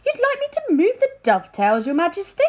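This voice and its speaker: A woman's voice: extremely high pitch, huge pitch swings, brisk, darkish tone, pitched above the performer's own voice, heavily acted. A woman voicing an obsequious old male adviser to a king, formal and deferential, in a storybook reading.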